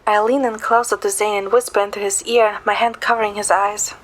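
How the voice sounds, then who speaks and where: A woman playing a playful, caring young woman who narrates a romantic story in the first person, in a gentle, soft manner. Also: medium pitch, flat delivery, a little fast, close to an everyday voice, poor audio. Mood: gentle, soothing. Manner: gentle, soft, sometimes whispering.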